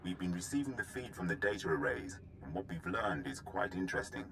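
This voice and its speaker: An actor playing a grave, cautious military officer in a video game, speaking in a formal, measured way. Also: male voice, deep voice, expressive, background noise. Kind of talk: scripted game dialogue.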